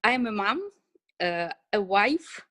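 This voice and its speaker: A middle-aged woman with an Eastern European accent, answering interview questions casually in short, halting phrases. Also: mid-range voice, very animated, bright, forceful tone, no room sound, clean studio sound. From Ukraine and Russia. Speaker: interview guest, financial services business owner.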